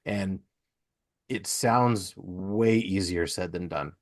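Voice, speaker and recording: A man's voice: low-pitched, slow pace. A man hosting a podcast interview in a casual, earnest and encouraging conversational way. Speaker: podcast host.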